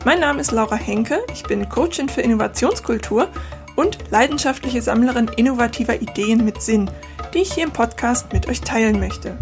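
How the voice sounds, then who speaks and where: A German woman presents a podcast as its host. Her voice sits a little high for a woman and has a strong creak in it. Faint music plays behind her. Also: brisk. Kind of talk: scripted podcast introduction, then casual interview.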